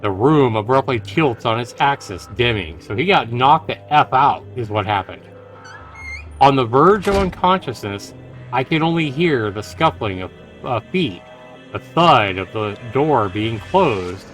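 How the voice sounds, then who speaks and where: A man's voice: mid-range voice, sing-song, takes it slowly, a bit bright, faint music behind. A man streaming a game, reading a visual novel aloud and adding casual commentary.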